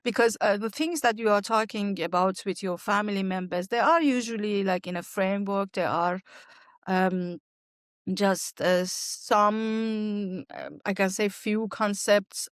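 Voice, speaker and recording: A woman's voice: medium pitch, steady tone, a little slow, no room sound. Iranian woman with a close, dry sound. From Iran. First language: Farsi. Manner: hesitant phrasing in non-native English. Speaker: guest, language teacher and archaeologist. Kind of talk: conversational interview answers.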